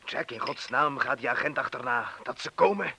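A frightened man trapped by a bomb, with a bright, tense voice of middling pitch. He is emotional and dependent on his companion, played in radio drama style. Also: animated, moderate pace, gravelly, a little hiss or hum. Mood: desperate, accusing. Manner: emotional, swinging between subdued and pleading. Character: frightened, pleading man stuck beside an unexploded bomb. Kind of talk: Dutch radio play acting.

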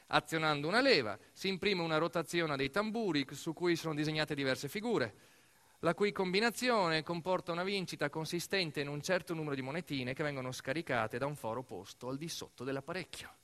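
A man performs a dramatic narrative monologue as an actor, telling the story deliberately in short, weighted phrases. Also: fairly high pitch, a bit bright. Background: music.

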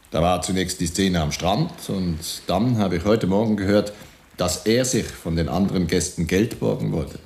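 An actor playing a polite, confiding, wryly amused hotel manager in a German radio drama, with a deliberate delivery. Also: male voice, low voice, darkish tone. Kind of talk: German radio play dialogue.

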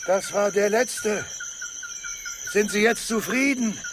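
An actor playing a curt, impatient, gruff man defending a besieged jungle compound in a radio drama. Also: sits high, takes it slowly. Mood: irritated, assured. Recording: loud jungle insect noise behind.

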